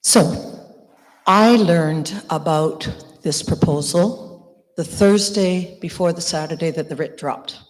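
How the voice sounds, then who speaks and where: A woman candidate answering an audience question at a public meeting, speaking earnestly with some halting restarts. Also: lowish voice. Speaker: election candidate. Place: church hall. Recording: long reverb of a hall.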